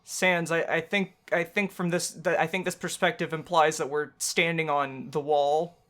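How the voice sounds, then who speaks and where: A woman's voice: low voice, fairly even intonation, moderate pace, fairly bright tone. A woman co-hosting a game playthrough, bantering casually in a joking, rambling way. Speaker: gaming channel co-host.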